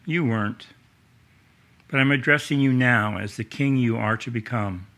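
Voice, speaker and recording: A man's voice: mid-range voice, moderate pace, a bit bright, lightly acted. A man acting in a radio drama as a burdened ringmaster king, solemn and confiding, speaking like a father to his heir. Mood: solemn confession.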